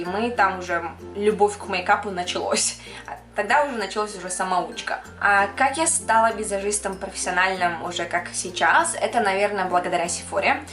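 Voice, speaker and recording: A young woman from Kyrgyzstan talks easily to camera as a makeup artist answering her viewers' questions. She chats at an ordinary pitch and mixes English words into her speech. Her voice carries a clear room echo, with faint music underneath. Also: a bit bright. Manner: chatty, mixes in English words, laughs often. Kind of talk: casual Q&A chat to camera.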